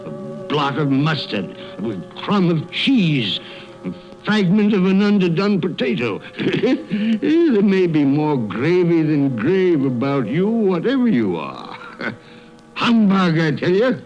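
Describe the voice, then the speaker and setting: A man's voice: fairly high pitch, big pitch swings, slow, a little mellow. An actor playing a miserly, cold-hearted old businessman, skeptical and fearful, in a theatrical, blustering radio drama style. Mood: scornful disbelief. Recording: dull lo-fi recording with music behind.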